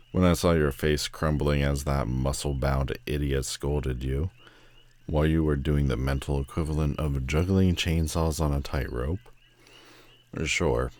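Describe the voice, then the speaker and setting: A man's voice: extremely low pitch, a little mellow, no echo, exaggerated voice. A man playing a proud, sardonic telepathic villain with secret loneliness, speaking haughtily and dramatically in a roleplay audio drama. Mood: flustered, indignant. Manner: haughty, dramatic, drawn-out emphatic phrasing.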